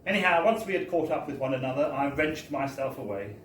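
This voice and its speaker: A man's voice: medium pitch, expressive, a little fast, fairly bright tone. A man giving a wry, theatrical, mock-formal reading of a comic story at a live event. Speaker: reader of a humorous book. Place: bar, indoors, at a live book launch. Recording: echoey live bar recording, distant, faint noise.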